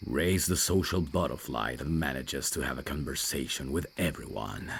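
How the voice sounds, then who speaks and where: A man playing a reserved, rational vampire messenger in an audio roleplay, dry and guarded, with a gravelly, deep voice. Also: moderate pace, heavily acted. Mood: calm, serious. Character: reserved, rational male vampire messenger, over fifty years undead.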